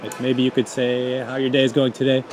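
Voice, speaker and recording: A Canadian man asking strangers quick questions in a casual street interview. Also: medium pitch, monotone. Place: outdoors on a city street. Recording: noisy city street.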